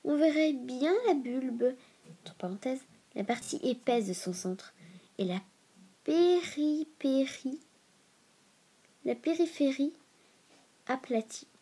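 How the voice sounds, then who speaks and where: A woman's voice: sits high, expressive, slow, long pauses, dark tone, husky. A woman with a stuffy nose from a cold hosting a casual educational video, reading aloud and breaking into informal asides as she stumbles over long words. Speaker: video host.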